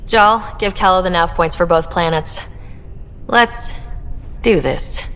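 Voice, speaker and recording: A woman's voice: on the low side, slow pace, fairly bright tone, long reverb tail, slight background noise. An actress playing an earnest young female leader of a space expedition in a video game, businesslike and conversational. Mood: decisive. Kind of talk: scripted video game acting.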